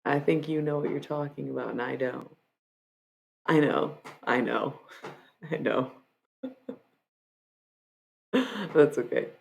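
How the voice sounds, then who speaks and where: A young woman streaming live, chatting casually and joking at her own expense. Her voice sits low, with a gravelly, fried edge. She drifts now and then into quick rap lines. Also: steady tone, slight background noise. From Michigan. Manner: joking, self-mocking, freestyles rap lines.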